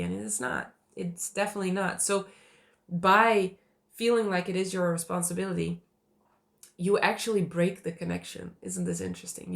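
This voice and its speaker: A woman with a cold and a hoarse voice, teaching in earnest to camera and reassuring viewers by repeating key points. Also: lowish voice. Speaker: attachment style coach.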